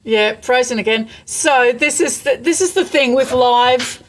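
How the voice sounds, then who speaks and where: A woman's voice: sits high, a little slow, a little hiss or hum. A woman hosting a casual live interview over a video call, chatting conversationally with her guest.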